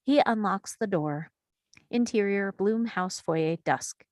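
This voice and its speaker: A woman's voice: mid-range voice, slow, a little mellow, no echo, clean studio sound. A woman reading scripted screenplay action and scene headings as a narrator at a table read. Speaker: stage directions reader.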